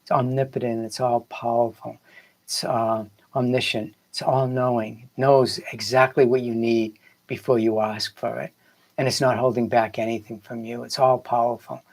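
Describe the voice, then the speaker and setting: A man's voice: medium pitch, flat delivery, normal speed. Earnest Boston man, rambling motivational talk. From Boston area, United States. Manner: earnest, rambling, repeats phrases for emphasis. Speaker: spiritual coach hosting a livestream. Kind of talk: casual motivational livestream talk.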